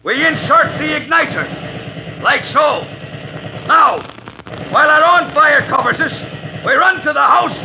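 A man playing a gruff, sardonic Irish commando sergeant major in a 1940s radio drama, commanding and wry. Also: very high voice, expressive, a little slow, pauses often, background noise, full character voice. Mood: urgent, shouting. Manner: commanding, wry, barking orders. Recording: dull old 1940s radio recording.

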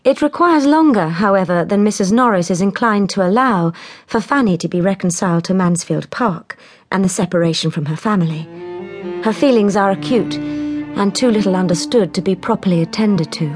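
An actress as a composed, wry storyteller, narrating a period literary drama in a measured way. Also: female voice, medium pitch, lively intonation, natural voice. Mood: composed, sympathetic. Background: music.

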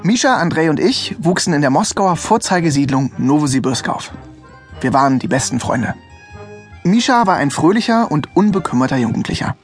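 A man's voice: fairly high pitch, big pitch swings, fairly quick, soft background music. An actor playing a wry, easygoing young Russian man recounting his youth in an audio drama. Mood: wry, reflective. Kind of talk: audio drama first-person narration.

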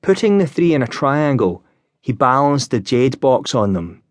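A male audiobook narrator reads scripted literary prose. His delivery is measured and descriptive, at a medium pitch. The recording is close and dry, as in a studio. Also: sing-song, no room sound.

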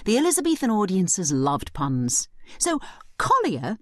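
Female educational narrator, scripted commentary. Expressive range, explanatory manner, dry studio-close sound. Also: mid-range voice, a little slow, dry recording. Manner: explanatory, clearly spelling out points. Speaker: study guide narrator and commentator.

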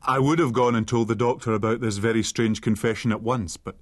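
A man plays a well-mannered young lad from an inn in an adventure radio drama. His voice sits at a middle pitch, and he speaks earnestly, anxious yet plucky. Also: steady tone, fairly quick, no echo, studio quality, natural voice. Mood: reflective. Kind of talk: radio drama acting and storybook narration.

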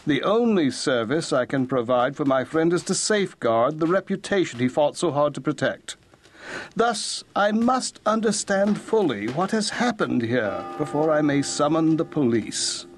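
An actor playing a sober, loyal Victorian attorney who narrates a grim account in a formal, measured, grave manner for an audio drama. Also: male voice, a little high, very animated, slight background noise. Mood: grave, resolute. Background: music.

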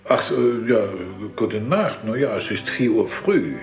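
An actor playing a hesitant, sceptical forensic doctor who mutters haltingly in a German audio drama. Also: male voice, mid-range voice, big pitch swings, echoey room, soft background music. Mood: bemused, muttering.

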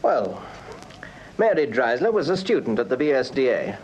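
British male inspector, husky and businesslike, methodical reporter of facts, detective radio drama. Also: mid-range voice, very animated, moderate pace, a little mellow, muffled recording. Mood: factual, methodical. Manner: matter-of-fact, methodical reporting. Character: brisk, businesslike male police inspector, deferential to his chief. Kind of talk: full-cast radio crime drama acting.

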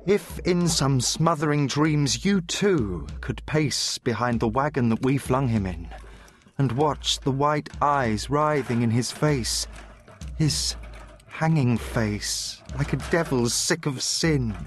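An actor playing a haunted soldier poet of the First World War, reciting dramatically with deliberate intensity. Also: male voice, mid-range voice, slight background noise, lightly acted. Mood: bitter.

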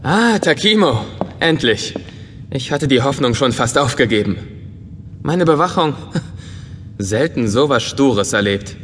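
A man playing an eager, bookish, curious robot in a science fiction audio drama, excitable, earnest and polite. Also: mid-range voice, a little slow, long pauses, a little hiss or hum. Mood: relieved, eager. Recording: long reverberant hall sound.

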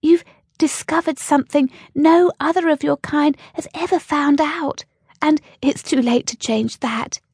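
A woman voicing a wise, commanding female rabbit who leads her clan, speaking in a stately, measured and slightly self-important way. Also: sits high, steady tone, a little mellow, dry recording, clean studio sound, pitched above the performer's own voice. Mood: solemn.

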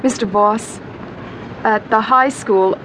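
An actress playing a pushy, eager high school girl in a comic radio play, direct and perky. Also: takes it slowly. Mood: friendly, frank. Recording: noisy original workshop recording.

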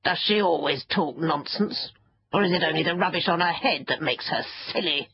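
Woman voicing a husky, crotchety ancient sand fairy. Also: fairly low pitch, big pitch swings, a little slow, dull audio, full character voice. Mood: scornful, offended. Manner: scornful, peevish, self-important. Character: ancient furry sand fairy, crotchety, haughty, easily offended. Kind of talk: children's storybook character voice.

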